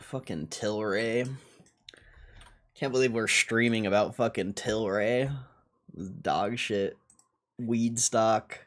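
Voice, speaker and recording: Slow-talking, foul-mouthed man streaming. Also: lowish voice, steady tone, a bit bright. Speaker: stock market livestreamer. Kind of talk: casual livestream commentary, thinking aloud.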